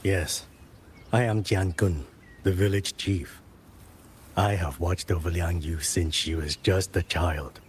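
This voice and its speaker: An actor playing a grave old village chief, speaking in a solemn, measured storyteller's manner in a game. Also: male voice, sits low, a little hiss or hum. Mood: calm, courteous.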